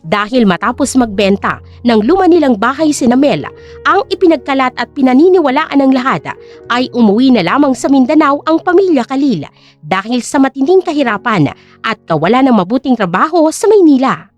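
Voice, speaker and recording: A woman narrating an audio drama with a steady, storytelling delivery. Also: high voice, brisk, soft background music.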